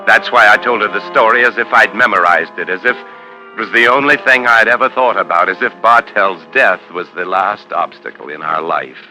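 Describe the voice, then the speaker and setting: An actor playing an ambitious, tormented, possessive husband in a 1950s radio suspense drama, with brooding, intense, clipped delivery. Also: male voice, lowish voice, moderate pace, bright, forceful tone, low-quality recording. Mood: cold, resolved. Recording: old 1950s radio recording with music.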